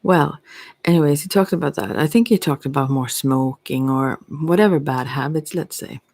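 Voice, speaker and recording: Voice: medium pitch, normal speed, darkish tone, dry recording. An ASMR host with a voice of unclear sex, talking in a hesitant, meandering, reflective way. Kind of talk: ASMR, rambling personal talk.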